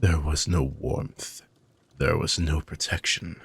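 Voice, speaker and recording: A man playing an ancient, weary dark mage villain with a gravelly, husky voice, speaking in soft, deliberate, formal phrases as an intimate fantasy audio roleplay monologue. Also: a bass voice, fairly even intonation, full character voice. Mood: grave, bitter. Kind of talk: slow, intimate dramatic monologue for sleep-aid audio roleplay.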